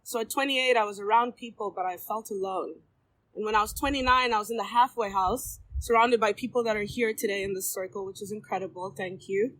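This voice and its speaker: A woman in her thirties speaking candidly at a recovery meeting, halting often with ums and restarts as she addresses a circle of people in the room. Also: mid-range voice, fairly even intonation, fairly bright tone, rough recording. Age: 30s. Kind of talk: personal share at a recovery meeting.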